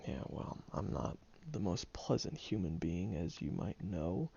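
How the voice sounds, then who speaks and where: A man's voice: deep voice, expressive, a little slow, gravelly, mellow, slightly rough, full character voice. A man voicing a prickly young man who secretly cares, speaking straight to the listener in an audio roleplay, grumbling and hesitant but tender underneath. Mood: earnest. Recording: dull lo-fi recording.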